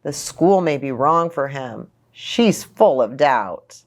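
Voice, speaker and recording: A woman's voice: a bass voice, expressive, takes it slowly, pitched below the performer's own voice, exaggerated voice. A woman voicing a gossiping schoolgirl in a children's storybook, matter-of-fact and confiding.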